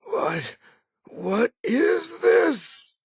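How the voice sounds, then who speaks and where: An actor voicing a tormented, paranoid figure in a video game, raving in broken fragments with a raspy, creaky voice. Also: extremely high pitch, sing-song, very slow, no echo, clean studio sound, exaggerated voice. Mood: frantic, anguished. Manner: broken, muttered fragments bursting into cries. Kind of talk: video game character dialogue.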